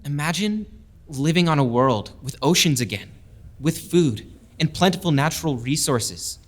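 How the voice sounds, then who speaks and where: Impassioned young officer. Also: male voice, fairly high pitch, expressive, moderate pace. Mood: earnest, rousing. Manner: impassioned, oratorical. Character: earnest young male military lieutenant, proud outsider pleading his cause. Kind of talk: full-cast audio drama speech.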